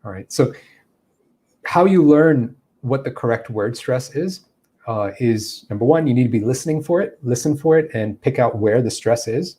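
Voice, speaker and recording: A man explains English pronunciation to viewers, pausing with fillers as he thinks. His voice is mellow and sits at an ordinary pitch. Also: animated, breathy edge. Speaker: English teacher. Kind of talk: answering viewer questions in a lesson.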